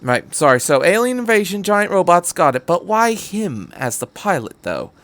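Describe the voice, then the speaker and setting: A man acts out a brash time-travelling doctor from a story reading. The voice jumps up high, animated and incredulous, full of exclamations and questions. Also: moderate pace, dry recording. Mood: excited, curious.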